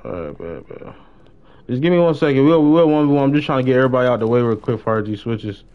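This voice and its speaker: A young American man chats casually while streaming a game. His voice sits at an ordinary middle pitch, and he talks in heavy slang, often repeating short phrases. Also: expressive, quick pace, lo-fi sound. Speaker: live streamer playing a role-play game. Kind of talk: casual gaming chat.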